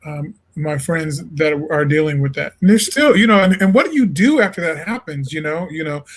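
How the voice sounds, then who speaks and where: A jazz singer from Illinois talks as an interview guest in a fairly high male voice. He is relaxed and thoughtful, and says "you know" a lot. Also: normal speed. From Illinois, USA. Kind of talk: casual interview talk.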